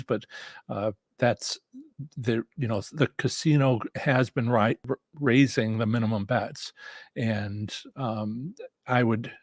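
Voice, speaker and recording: Guy hosting a casual how-to livestream, rough husky tired voice, rambly and halting, dry sound. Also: medium pitch, a little mellow, no echo. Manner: halting, rambling, repeats and restarts phrases. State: voice worn from a lot of talking. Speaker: host and instructor of a live stream on slots strategy. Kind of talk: casual live-stream teaching and audience Q&A.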